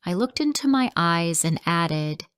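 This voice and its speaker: Slow, calm woman narrating a story. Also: on the low side, no room sound, studio quality. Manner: slow, simple, clearly spoken sentences. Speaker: storyteller for English learners. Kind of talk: scripted first-person story narration.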